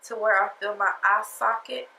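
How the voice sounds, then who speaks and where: A woman's voice: mid-range voice, steady tone, normal speed, creaky, bright, forceful tone, echoey room, slight background noise, low-quality recording. A woman talking through a makeup tutorial in a casual, sassy, chatty way, her nose stuffed up from a cold. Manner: chatty, sassy, talks straight to viewers. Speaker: beauty vlogger.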